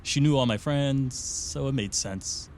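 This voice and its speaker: A man's voice: medium pitch, unhurried, darkish tone, lightly acted. Easygoing guy actor over faint car noise. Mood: sheepish, amused. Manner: casual, sheepish, conversational. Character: easygoing, self-deprecating man in his thirties, an old friend. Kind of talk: naturalistic audio drama acting.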